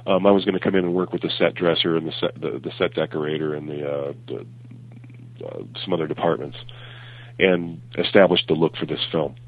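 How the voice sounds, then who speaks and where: A man with a cold and a raspy voice, chatting casually and animatedly about his film work. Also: a bass voice, fairly quick. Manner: chatty, animated, with many fillers and restarts. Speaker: film graphic consultant and co-host. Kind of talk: casual podcast chat. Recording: tinny phone line.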